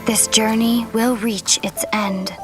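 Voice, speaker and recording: A solemn young princess speaking with slow, grave resolve. Her voice sits slightly high. Loud orchestral music fills the background. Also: fairly even intonation. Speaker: video game character.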